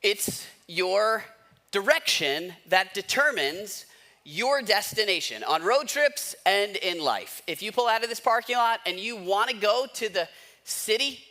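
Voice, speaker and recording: A man's voice: high voice, animated, a little slow, pushed and bright. A man giving a conversational sermon as a pastor, asking rhetorical questions and repeating key phrases for emphasis.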